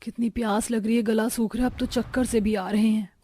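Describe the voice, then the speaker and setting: A woman voicing a jealous, sharp-tongued young sister-in-law in a cartoon moral story. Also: a little high, steady tone, fairly quick, breathy edge. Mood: suffering.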